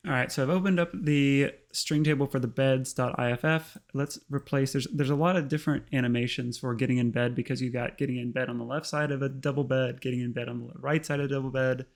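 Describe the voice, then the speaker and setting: Slightly hoarse male host, gravelly, mid pitch, chatty tutorial read with lots of ums. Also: steady tone, fast, few pauses. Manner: chatty, full of ums and uhs. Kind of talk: casual screen-recorded tutorial narration.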